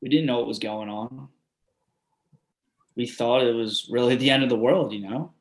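A man's voice: medium pitch, moderate pace, natural voice. An actor playing a grateful male storm survivor in a readers theatre monologue, often saying "you know". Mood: reflective. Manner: reflective, repeats "you know".

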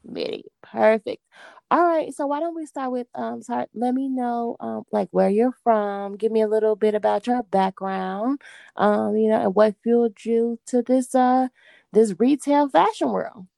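A woman hosting a casual interview, asking questions in a relaxed, friendly way with loose fillers. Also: on the high side, measured pace, gravelly, no room sound, clean studio sound. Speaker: host, interviewer.

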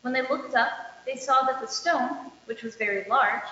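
A woman reading scripture aloud as a lector, measured and declarative, with emphatic openings to phrases. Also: high-pitched, pushed and bright. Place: large reverberant indoor space. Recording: echoey reverberant hall, dull, faint noise.

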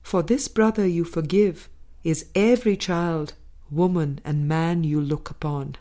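A woman reading the words of God as a calm, loving, wise teacher in a parable, solemn and tender. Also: on the low side, unhurried, natural voice.

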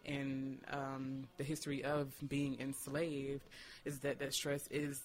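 Thoughtful man with a flat, even delivery. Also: medium pitch, slow. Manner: hesitant, thoughtful phrasing with frequent "you know". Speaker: doula and discussion participant. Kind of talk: earnest reflective conversation.

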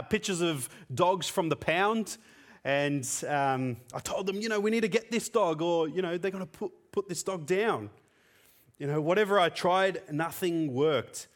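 A man whose first language is Tamil, preaching a sermon in an earnest, conversational way with frequent fillers. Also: mid-range voice.

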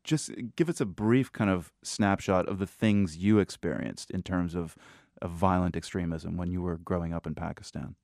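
A man hosting a radio news program, asking questions in a calm, measured way. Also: deep voice, gravelly, no room sound, studio quality.

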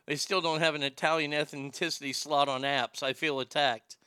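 A man hosting a casual talk show, ranting in an animated, profane way. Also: on the high side, moderate pace, smooth, no echo, studio quality. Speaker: host.

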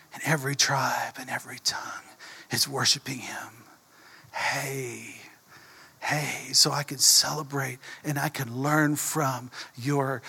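A man from Texas, preaching a sermon in a folksy, animated way and dropping to a whisper for emphasis. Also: mid-range voice, vocal fry, husky, big-room sound. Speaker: pastor. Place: church.

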